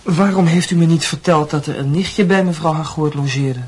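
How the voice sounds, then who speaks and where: An actor playing an awkward, inquisitive young medical student and skeptical son in a Dutch radio drama, blunt and sometimes stammering. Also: male voice, a little high, moderate pace, husky. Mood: casual, curious. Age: young adult.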